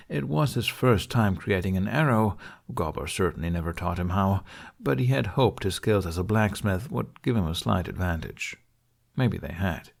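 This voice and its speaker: A man's voice: fairly low pitch, gravelly, a little mellow. A man reading a fiction audiobook as its narrator, in a scripted storytelling style.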